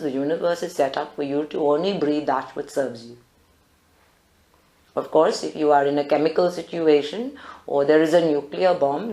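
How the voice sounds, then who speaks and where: A woman guiding a live group meditation in a calm, deliberate, instructive way. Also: sits low. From South Africa. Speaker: meditation guide. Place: indoor group meditation room. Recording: roomy live recording.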